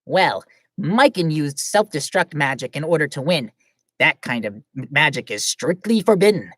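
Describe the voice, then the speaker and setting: A woman plays a grave guardian angel who speaks with slow, measured solemnity and an air of superiority. Her voice is low-set for a woman, with lively pitch, recorded dry and close. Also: no echo.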